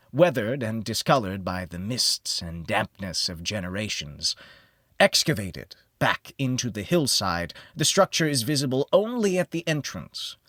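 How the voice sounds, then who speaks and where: A man narrating a gothic horror audiobook in the first person, theatrical and measured, with deliberate pauses. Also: mid-range voice, a bit bright, no echo, studio quality.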